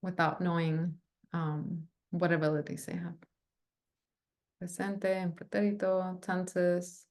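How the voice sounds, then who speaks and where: A woman's voice: lowish voice, little pitch movement, slow, darkish tone. A woman leading a training session over a video call, chatty, with lots of fillers and "right?". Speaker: workshop facilitator.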